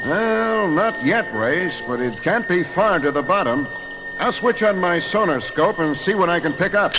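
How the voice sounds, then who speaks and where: An earnest scientist dad, explains things in a fairly high male voice with very expressive pitch. It is classic cartoon adventure acting, with loud music behind him. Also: unhurried, rough recording. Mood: calm, explanatory. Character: knowledgeable, steady scientist father exploring the deep sea.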